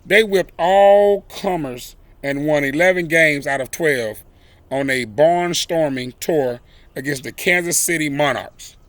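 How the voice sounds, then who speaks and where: Slow, bright-voiced man reading a story aloud. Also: fairly high pitch, no room sound. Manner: deliberate, emphatic phrasing with dramatic pauses. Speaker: volunteer storyteller reading a children's book. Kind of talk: expressive read-aloud storytelling with brief asides.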